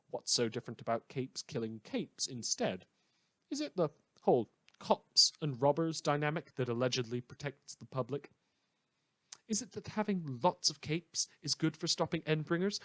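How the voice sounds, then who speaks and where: A man acts as a chatty radio host in a superhero drama, morally muddled and sarcastic. His pitch moves around in a lively way, and he keeps posing rhetorical questions. The sound is dry and close, with no room echo. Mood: indignant, mocking. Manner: rhetorical questions, sarcastic, self-correcting asides. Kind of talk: fictional radio talk show monologue.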